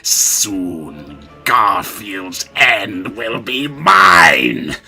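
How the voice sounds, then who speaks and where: Raving, vengeful prince, wildly swooping. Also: male voice, low voice, big pitch swings, sharp tone, shouting, soft background music, heavily acted. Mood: defiant, mad. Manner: melodramatic, drawn-out raving. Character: vengeful, mad, shouting young prince obsessed with revenge.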